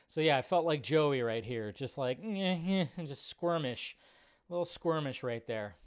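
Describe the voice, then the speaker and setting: A middle-aged American man hosting a live show, giving casual, rambling commentary in a blunt, sardonic, sweary way with drawn-out emphasis. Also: a little high, animated, smooth. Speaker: host of a live movie news show. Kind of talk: casual, rambling livestream commentary on entertainment news.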